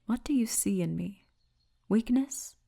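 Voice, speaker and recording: Woman acting as orc guardswoman, ASMR roleplay, hushed and breathy, mellow, creaky, wry, gently commanding. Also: on the high side, expressive, full character voice. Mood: probing, tender. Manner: slow, hushed, breathy murmur.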